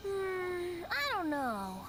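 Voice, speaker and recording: A playful young bard boy in a video game, theatrical and light. The voice is high and very animated, unhurried in pace, over clear music. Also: very noisy, exaggerated voice. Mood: eager. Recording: loud game music underneath.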